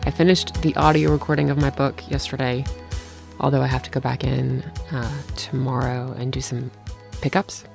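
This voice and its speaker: A hoarse woman co-hosting a podcast, telling stories casually and often laughing at herself. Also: extremely low pitch, flat delivery, normal speed, music in the background. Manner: laughing, self-deprecating storyteller. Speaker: podcast co-host. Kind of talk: casual chat.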